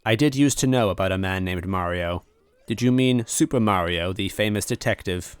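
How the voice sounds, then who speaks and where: A man's voice: deep voice, normal speed, clear, resonant tone, no echo, natural voice. A man voicing a lonely, apologetic old supercomputer in a story, speaking in formal, precise wording. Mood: formal, resigned.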